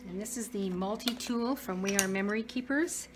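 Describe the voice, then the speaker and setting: A slightly hoarse woman casually demonstrating a paper-craft tool while she works at her table. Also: mid-range voice, normal speed, noisy background. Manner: chatty, talks while working, frequent restarts. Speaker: craft tutorial host. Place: craft room at a work table.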